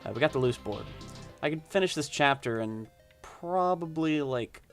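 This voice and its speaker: A man's voice: fairly high pitch, animated, moderate pace, soft background music. A young man playing a video game, reading its text aloud and chatting loosely with many fillers and restarts. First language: not Japanese. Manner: rambling, hesitant, many fillers. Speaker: let's play gamer.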